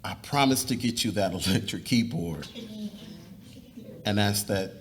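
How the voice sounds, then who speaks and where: A man playing an earnest, devout young suitor in a live dramatic reading, speaking in a measured, persuasive way. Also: fairly low pitch. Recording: noisy live room recording.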